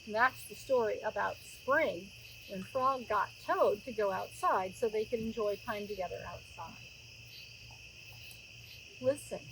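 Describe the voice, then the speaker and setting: A middle-aged woman narrating a storybook aloud for young listeners, expressive, with chatty asides. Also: medium pitch, unhurried, pauses often, slight background noise, rough recording. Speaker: children's story reader. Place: outdoors near a road, woods.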